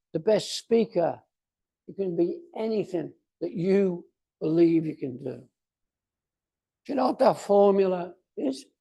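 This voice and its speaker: A man of about eighty giving a motivational speech, speaking theatrically and emphatically, with deliberate pauses and repetition. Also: high voice, gravelly, dark tone. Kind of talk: motivational speech to a club audience.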